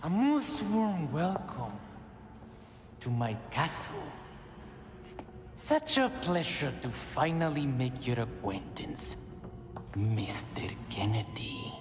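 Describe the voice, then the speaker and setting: An actor playing a pompous, theatrical lord of a castle and cult zealot, speaking with courtly, grandiose formality in a video game. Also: male voice, mid-range voice, big pitch swings, slow, long pauses, background noise. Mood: mock-courteous. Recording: echoey hall reverb, music behind.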